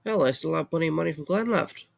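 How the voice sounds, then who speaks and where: A man's voice: on the high side, expressive, quick pace, vocal fry, full character voice. A man acting in an audio drama as a weary, wry young man with a casual, sardonic, rambling way of talking. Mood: musing.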